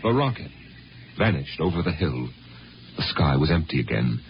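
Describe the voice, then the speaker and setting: An actor narrating a 1950s radio drama as a grave, solemn and theatrical storyteller. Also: male voice, extremely low pitch, very animated, normal speed, a little hiss or hum, exaggerated voice. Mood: solemn, dramatic. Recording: dull 1950s radio recording with loud music.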